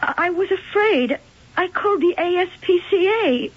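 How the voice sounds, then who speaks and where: A dull old radio drama recording features a young woman, anxious and vulnerable. Her high voice is plaintive and hesitant. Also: lively intonation, moderate pace. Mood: distressed, fearful. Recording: dull, telephone-like vintage radio tape.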